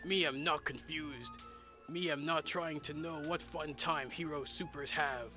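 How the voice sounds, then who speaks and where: A man's voice: fairly high pitch, fairly even intonation, unhurried, music in the background, close to an everyday voice. A man playing a dim, irritable super-powered villain clone in a comedic radio play, talking in broken backwards grammar. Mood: slow, earnest.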